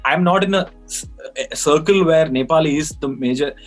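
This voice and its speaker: A man's voice: fairly high pitch, a little fast, faint music behind. A man who plays in a band, talking in an earnest, rambling way with many restarts. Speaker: band musician. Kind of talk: casual conversation.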